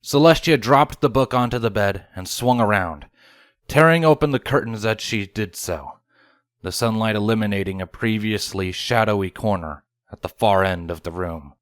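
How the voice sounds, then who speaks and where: A man's voice: lowish voice, moderate pace, no room sound. A man reading a scripted story aloud as its narrator. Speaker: fan fiction reader.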